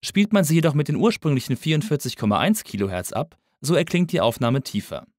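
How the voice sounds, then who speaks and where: A man reading a scripted tutorial as an instructor, in a measured, didactic manner. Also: a little high, sing-song, a little mellow, no room sound, studio quality. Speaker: audio engineering instructor. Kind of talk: scripted lesson narration.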